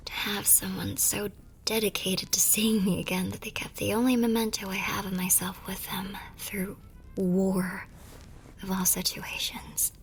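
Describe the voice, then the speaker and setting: A woman playing a tender, teasing young war nurse and sweetheart in an intimate ASMR romance roleplay, murmuring softly and breathily. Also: mid-range voice, flat delivery, unhurried, slight background noise, natural voice. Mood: hopeful, tender. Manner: soft, breathy, affectionate murmuring.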